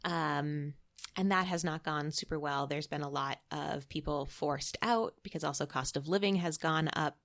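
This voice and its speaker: A woman's voice: deep voice, moderate pace, gravelly, a bit bright. A woman from Massachusetts, a guest in a casual interview, talking chattily and often laughing. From Massachusetts, USA.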